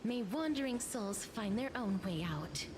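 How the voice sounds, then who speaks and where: A solemn, otherworldly female video game fighter, delivers battle invocations in a high voice. The pitch moves expressively, and loud music plays behind. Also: animated, unhurried. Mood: solemn, commanding.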